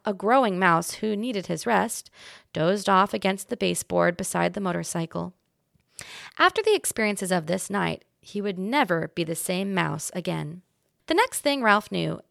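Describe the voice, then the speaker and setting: A woman reading a children's chapter book aloud as a guest reader, with expressive storybook narration. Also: mid-range voice, vocal fry, dry recording, clean studio sound.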